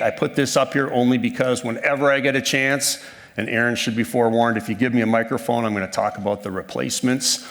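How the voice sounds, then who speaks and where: A man's voice: mid-range voice, fairly bright tone. A man in his fifties from northern Minnesota giving a folksy, self-deprecating conference talk on a handheld microphone, with frequent ums and restarts. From northern Minnesota, USA. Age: 50s. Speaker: conference speaker. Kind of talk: conversational presentation. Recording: reverberant hall sound.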